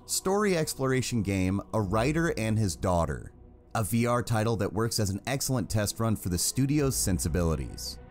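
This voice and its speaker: A man reading a scripted video game review as narrator, in a measured, articulate way with wry asides. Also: fairly low pitch, darkish tone.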